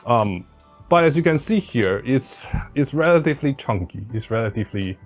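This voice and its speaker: A man's voice: mid-range voice, animated, normal speed. Man casually reviewing gadgets over faint music. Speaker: tech review video host.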